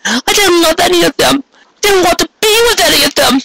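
A storyteller voicing a distressed, devoted young female pony who pleads in halting, stammering phrases. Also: extremely high pitch, animated, gravelly, pushed and bright, pitched above the performer's own voice, heavily acted, poor audio. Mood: anguished.

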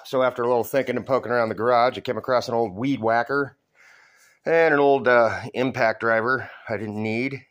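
A middle-aged man giving a casual, folksy, self-deprecating demonstration of his homemade build in short, halting phrases, recorded on a handheld phone in a garage. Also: medium pitch, fairly even intonation, fairly bright tone. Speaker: hobbyist DIY builder. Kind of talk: casual show-and-tell demonstration. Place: cluttered garage with open door. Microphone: handheld phone held by the speaker.

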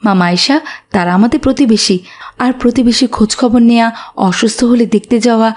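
Woman as devout mother, calm, caring, instructive, cartoon acting. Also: fairly high pitch, a little fast, lightly acted. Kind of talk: Islamic cartoon dub acting.

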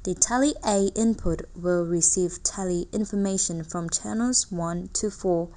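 Female tutorial narrator, slightly low voice, very slow careful read, light background noise. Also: warm tone. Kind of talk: scripted technical narration.

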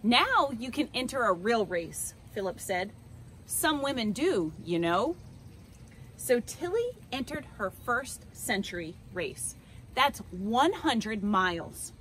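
A woman's voice: a little high, big pitch swings, slow pace, a bit bright. A middle-aged woman presenting a children's picture book, recorded outdoors in a driveway a few steps from the camera. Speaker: children's read-aloud presenter. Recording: outdoor noise behind the voice.

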